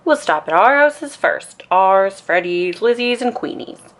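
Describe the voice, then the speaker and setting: A woman's voice: mid-range voice, expressive, unhurried. A woman voicing an eager, confident young boy bear cub from a storybook, keen and emphatic. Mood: planning.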